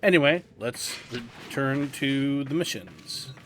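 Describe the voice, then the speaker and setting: A man's voice: medium pitch, lively intonation, takes it slowly, fairly bright tone, a little hiss or hum. A man hosting a video game guide, explaining things informally as he plays. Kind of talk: casual tutorial commentary over gameplay. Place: indoors, gameplay voice-over recording.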